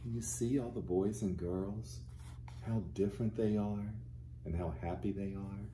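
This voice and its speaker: A man in his fifties, a pastor reading a picture book aloud to young children and explaining it gently and simply. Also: lowish voice, fairly even intonation, measured pace, a little hiss or hum, low-quality recording. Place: small furnished living room, indoors.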